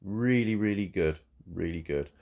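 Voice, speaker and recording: A man, croaky from a cold, giving halting, warmly praising commentary on a farm game. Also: low-pitched, lo-fi sound. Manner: halting, repeats words, warm praise. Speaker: farm game channel host. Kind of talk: casual gameplay commentary.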